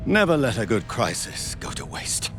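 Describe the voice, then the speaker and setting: An actor playing a coldly menacing villain with grand visions in a video game cutscene, speaking in a deliberate, ominous manner. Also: male voice, medium pitch, sing-song, music in the background, lightly acted. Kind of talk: cinematic video game acting.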